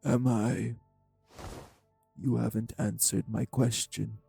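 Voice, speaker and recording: A man playing a cold, manipulative vampire villain in an audio roleplay, speaking with deliberate, condescending menace in a husky, gravelly voice. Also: mid-range voice, little pitch movement, warm tone, soft background music, full character voice. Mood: taunting, menacing. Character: cold, manipulative male vampire taunting his captive. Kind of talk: dramatic audio roleplay acting.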